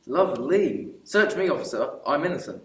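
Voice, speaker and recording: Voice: medium pitch, normal speed, close to an everyday voice. A young actor playing a cheeky, teasing working-class holidaymaker man in a school radio play. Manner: teasing, loud and lively. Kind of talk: amateur radio play acting. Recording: roomy echo, distant mic, faint hiss.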